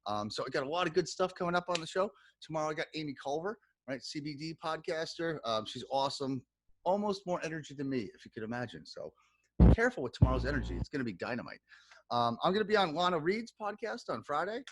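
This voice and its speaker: A man's voice: a little high, lively intonation, fast talker, slight background noise, low-quality recording. A man hosting a podcast, talking enthusiastically in a rambling, casual way with plenty of fillers. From New York. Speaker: podcast host.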